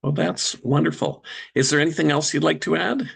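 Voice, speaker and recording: A man interviewing a guest, asking long, polite questions at a measured pace. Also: on the high side, fairly even intonation, vocal fry, a bit bright, breathy edge.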